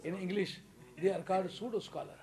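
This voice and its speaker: A man's voice: high voice, brisk, crowd noise. A man from Pakistan with a Pakistani accent, preaching an English sermon to a congregation with emphatic stresses and frequent chuckles. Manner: emphatic, rising to loud stresses, chuckles between points. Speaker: religious preacher.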